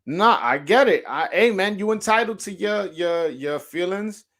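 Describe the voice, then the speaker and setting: A man's voice: high voice, lively intonation, a little slow, fairly bright tone. A man with a New York accent hosting a boxing livestream, chatting casually, swearing and stammering through restarts. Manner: slangy, profane, lots of stammered restarts. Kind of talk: casual livestream chat, reacting to viewer comments.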